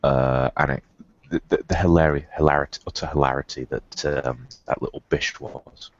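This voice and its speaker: A man's voice: extremely low pitch, fairly even intonation, moderate pace. A man chatting casually as a player in a tabletop role-playing session, with a wry, wordy way of talking. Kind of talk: casual game-session chat. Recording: dull, lo-fi voice chat audio.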